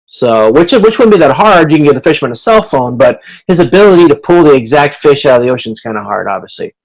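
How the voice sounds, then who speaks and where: A man's voice: on the high side, expressive, quick pace, low-quality recording. A man teaching an online class in an informal, explanatory lecture style, talking through examples and checking for understanding. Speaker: instructor leading an online class. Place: online video conference.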